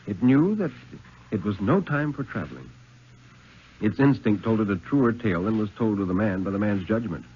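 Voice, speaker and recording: Expressive American storyteller on muffled old radio. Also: male voice, fairly low pitch, a little hiss or hum. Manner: solemn, deliberate, expressive phrasing. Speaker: storyteller, dramatic reader. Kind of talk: 1950s radio dramatic reading of fiction. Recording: muffled 1950s radio recording.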